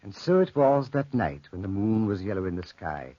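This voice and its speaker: An actor playing a wry narrator of a dark desert tale in 1940s radio drama style, theatrical and deliberate. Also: male voice, on the low side, big pitch swings, no echo. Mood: ominous, suspenseful. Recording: old lo-fi radio recording.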